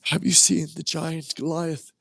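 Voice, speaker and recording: A man voicing frightened soldiers excitedly passing along rumors. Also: on the high side, a little slow, vocal fry, dry recording, voice pushed up, exaggerated voice. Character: frightened soldiers gossiping, male.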